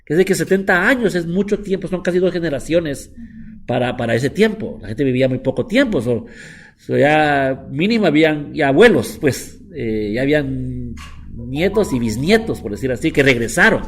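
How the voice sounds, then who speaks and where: Chatty middle-aged rabbi, slightly high voice. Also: male voice, expressive, moderate pace, slight background noise. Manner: chatty, repetitive, mixes English words into Spanish. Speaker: rabbi teaching a class. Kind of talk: informal Bible lesson.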